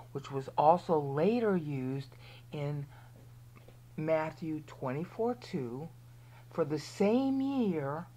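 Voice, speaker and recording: Low-voiced American woman teaching scripture. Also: darkish tone. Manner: rambling, explanatory, often asks okay; drops to a near whisper at times. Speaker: Bible teacher. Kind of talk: screen-share Bible lesson.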